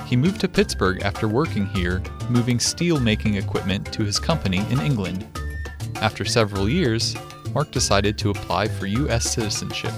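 A male radio host reads a scripted introduction in a lowish voice. His delivery is clear and announcer-like, with steady background music playing under the narration. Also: normal speed.

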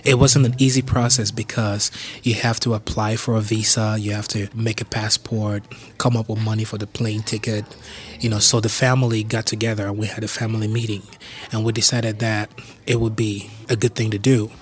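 A man in his thirties with a Cameroonian accent, telling his life story in simple, unhurried explanations sprinkled with "you know". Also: on the low side, flat delivery, a little hiss or hum. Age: 30s. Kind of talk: personal storytelling.

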